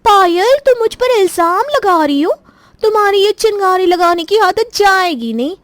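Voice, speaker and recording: Casting: woman as indignant, youthful elder sister-in-law for cartoon drama, extremely high-pitched, dry studio sound. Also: expressive, normal speed, dry recording. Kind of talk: Hindi cartoon voice acting.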